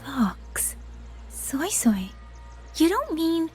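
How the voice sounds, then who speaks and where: A woman playing a gentle, dutiful younger sister in a video game, with an earnest and polite way of talking. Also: very high-pitched, sing-song, extremely slow, full character voice. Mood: surprised. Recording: music behind the voice.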